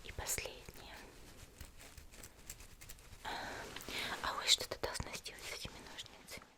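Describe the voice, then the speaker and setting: A woman speaks entirely in a soft, unvoiced whisper. She plays a caring young healer in an ASMR roleplay, addressing the listener directly. Her delivery is gentle, soothing and reassuring. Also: a little slow. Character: gentle caring young female demon-slayer healer tending a patient.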